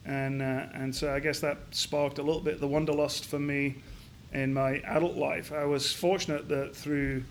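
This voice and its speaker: A man's voice: a little high, little pitch movement, moderate pace, a little hiss or hum. Middle-aged man from Yorkshire, thoughtful. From Yorkshire, England. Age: 50s. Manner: hesitant, many restarts and fillers. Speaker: business founder guest. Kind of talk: reflective interview answers.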